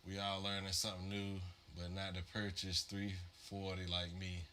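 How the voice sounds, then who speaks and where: A man talks in a loose, rambling way, full of fillers and "man." His voice sits fairly low but sounds very bright, with a faint noise under it. He sounds a little stuffed up, like someone getting over a cold. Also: monotone, normal speed. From Virginia, USA. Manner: laid-back, rambling, many fillers and "man". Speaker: livestream host building modular synth patches. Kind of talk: casual live-stream chat.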